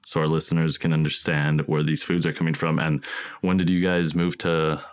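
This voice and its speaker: Deep-voiced man hosting a podcast. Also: flat delivery, fairly quick, clean tone. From Texas. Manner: friendly, loose, restarts his sentences. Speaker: podcast host. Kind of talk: casual podcast interview.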